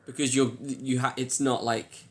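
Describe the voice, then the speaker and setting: A man's voice: mid-range voice, flat delivery, normal speed. A man co-hosting a podcast, chatting in an informal, plain-spoken way. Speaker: podcast co-host. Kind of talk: casual chat.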